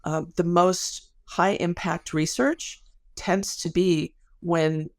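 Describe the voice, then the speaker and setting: A woman's voice: on the low side, steady tone, takes it slowly, dry recording, studio quality. A middle-aged woman speaking as an academic interview guest, explaining things in a thoughtful, careful way and often correcting herself. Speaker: podcast guest, academic researcher.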